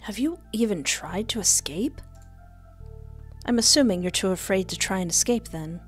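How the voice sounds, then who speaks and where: A young woman does a let's play at a close microphone, reading game narration aloud. She is playful and sassy, with a medium-pitched voice over faint music. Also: animated, moderate pace. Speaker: streamer, narrator. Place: indoors at a desk. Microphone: headset and close desk microphone.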